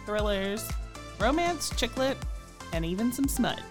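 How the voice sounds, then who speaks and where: A woman's voice: fairly high pitch, sing-song, measured pace. A woman podcast host speaking in an upbeat, conversational promo for a show. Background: music.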